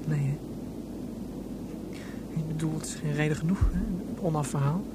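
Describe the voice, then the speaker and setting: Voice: medium pitch, normal speed, very noisy, natural voice. An actor in a radio drama playing a young boy, insistent and questioning, often stammering. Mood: wistful. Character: David, young boy pleading about his departing mother.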